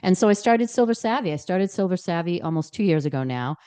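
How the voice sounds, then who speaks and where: Earnest sixty-year-old woman, soft and smooth. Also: lowish voice, expressive, fast. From Boston, Massachusetts. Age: 60s. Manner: earnest, with many fillers and restarts. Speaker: guest. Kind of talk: podcast interview.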